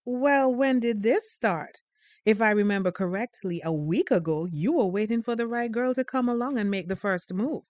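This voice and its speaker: A woman's voice: on the high side, animated, moderate pace, a little mellow, dry recording, clean studio sound, close to an everyday voice. An actress playing a caring mother of a teenager in an audio drama, affectionately teasing and nudging. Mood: playful, teasing.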